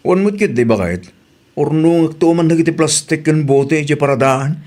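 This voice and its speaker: A man's voice: a little high, animated, moderate pace, a little mellow, close to an everyday voice. A man with a Filipino Ilocano accent playing an easygoing, helpful working husband in a radio comedy-drama. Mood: casual.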